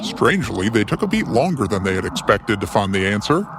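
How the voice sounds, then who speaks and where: A man narrating a scripted fantasy story in a theatrical, whimsical way with deliberate pauses. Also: fairly low pitch, big pitch swings, noisy background.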